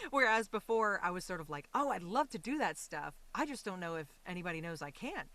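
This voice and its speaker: A woman talks casually as a guest in an interview. Her delivery is animated and loosely rambling, with the reflective feel of a performer talking through her own craft. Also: lowish voice, brisk.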